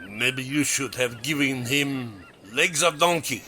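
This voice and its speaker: A man playing a teasing, incredulous fellow in an audio comedy who interrupts with drawn-out words. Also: medium pitch, bright, forceful tone, slight background noise. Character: slow-talking interjecting man named Ivan, teasing and incredulous. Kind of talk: comedic audio drama dialogue.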